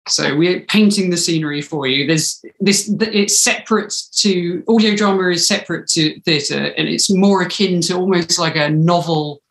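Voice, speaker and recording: A woman's voice: lowish voice, normal speed, few pauses. A woman, chatting as an interview guest on a video call. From United Kingdom. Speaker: interview guest, audio drama writer. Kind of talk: casual interview chat. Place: living room, indoors. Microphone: video call, computer mic at arm's length. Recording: echoey room.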